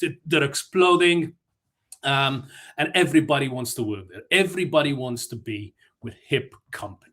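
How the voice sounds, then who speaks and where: A man's voice: mid-range voice, a bit bright. A man from Malta giving a conference talk, chatty and self-deprecating, with frequent fillers and restarts. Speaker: conference speaker.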